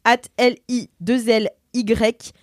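A woman's voice: a little high, steady tone, slow pace, no echo, studio quality. A woman hosting a casual podcast, chatty and laughing often as she reads listener messages aloud. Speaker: podcast host.

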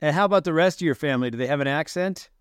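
A man's voice: a little high, very fast, clean tone, no echo, studio quality. A man hosting a podcast, who introduces his guest and asks friendly questions. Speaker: podcast host. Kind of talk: interview hosting.

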